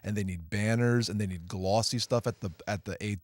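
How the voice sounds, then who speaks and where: A man's voice: lowish voice, fairly quick, dry recording, studio quality. A man co-hosting a movie podcast, talking casually about the film business. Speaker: podcast co-host. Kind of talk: casual movie industry chat.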